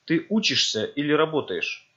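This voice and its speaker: A man with a husky voice reading scripted textbook dialogues for a language course, speaking slowly and carefully. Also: a little high, sing-song, bright, forceful tone. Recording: dull lo-fi audio.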